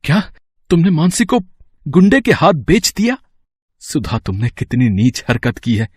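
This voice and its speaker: A man's voice: a little high, sing-song, measured pace, slightly rough, no echo, studio quality, heavily acted. A man playing a righteous, protective uncle in a cartoon moral story, dramatic and reproachful. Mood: outraged. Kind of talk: Hindi animated moral story acting.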